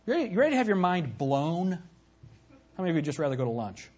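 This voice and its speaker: A man preaching a sermon from the pulpit, emphatic, swinging from loud peaks to low, quiet lines. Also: very animated, quick pace, husky. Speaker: pastor.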